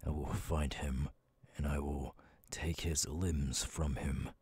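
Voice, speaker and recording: A man playing a stoic, battle-hardened military captain with a husky, breathy voice in a dramatic ASMR audio roleplay, speaking in terse, deliberate phrases. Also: extremely low pitch, steady tone, darkish tone, full character voice. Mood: angry, vengeful. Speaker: voice actor, ASMR artist.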